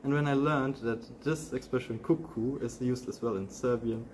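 A man in his twenties from Germany, a summer school participant, answering interview questions with hesitant pauses in a large open hall. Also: medium pitch, steady tone, a little mellow, a little hiss or hum. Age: 20s. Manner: hesitant, with uh fillers.

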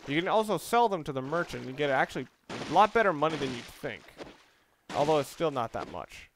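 A man's voice: on the high side, sing-song, a little fast, background noise. A man giving casual video game commentary, rambling along and thinking aloud as he plays. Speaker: let's play host.

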